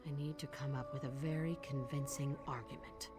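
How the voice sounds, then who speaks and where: A woman's voice: rumbling low, fairly even intonation, moderate pace. A woman playing a shrewd, determined thief leading her crew in a video game. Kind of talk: video game character acting. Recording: music behind the voice.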